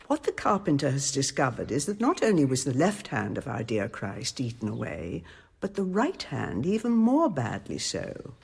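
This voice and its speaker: Husky, commanding abbess. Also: female voice, sits low, exaggerated voice. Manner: authoritative, measured, dryly ironic. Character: commanding, shrewd, composed convent abbess of mature years. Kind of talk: radio drama acting.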